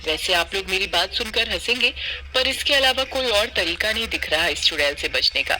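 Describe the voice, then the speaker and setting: Earnest village wife, high-pitched man acting. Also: lively intonation, normal speed, sharp tone, exaggerated voice, rough recording.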